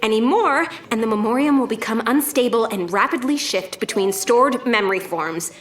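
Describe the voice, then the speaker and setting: A woman's voice: fairly high pitch, normal speed, close to an everyday voice. A woman playing an earnest young woman in a scripted audio drama, heard with a roomy echo. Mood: careful reading. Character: earnest young woman seeking justice for her grandmother.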